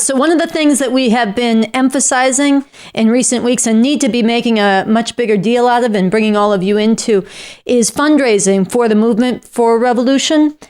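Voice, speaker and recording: Clear, higher-pitched woman co-hosting. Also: a little mellow. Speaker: show co-host. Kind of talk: explanatory appeal to viewers.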